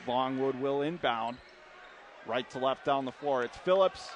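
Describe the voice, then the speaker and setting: A man's voice: mid-range voice, measured pace, highs cut off. A man calling live radio basketball play-by-play in clipped running phrases, punching up the big plays. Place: indoor college basketball arena. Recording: noisy courtside gym broadcast. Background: gym noise, bouncing basketball.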